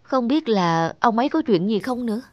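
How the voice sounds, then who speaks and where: A woman's voice: a little high, lively intonation, moderate pace, dry recording, natural voice. A woman voicing a patient, kindly young waitress in a cartoon, speaking politely and reassuringly. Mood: thoughtful. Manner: courteous, gently reassuring. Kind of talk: animated cartoon dubbing. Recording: music behind a close dry voice.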